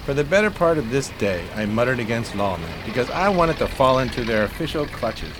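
A man's voice: medium pitch, big pitch swings, brisk, background noise, close to an everyday voice. An actor playing an eloquent, melancholy homeless man of 1920s New York in florid radio-theater style. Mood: resigned, weary. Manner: florid, grandiloquent, theatrical phrasing.

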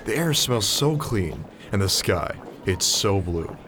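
A man's voice: fairly low pitch, big pitch swings, slow, noisy background. A man playing a naive, wide-eyed, awkward college freshman in an audio drama, speaking in a hesitant, curious, slangy way.

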